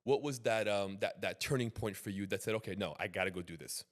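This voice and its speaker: A man's voice: lowish voice, quick pace. A man hosting a podcast, interviewing in a casual, chatty style.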